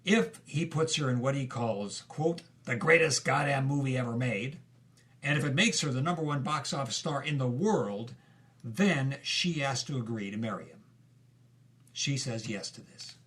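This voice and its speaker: A man narrating a story as a host, in deliberate short phrases with dramatic emphasis. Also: mid-range voice, fairly bright tone. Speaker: podcast narrator. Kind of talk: present-tense storytelling narration.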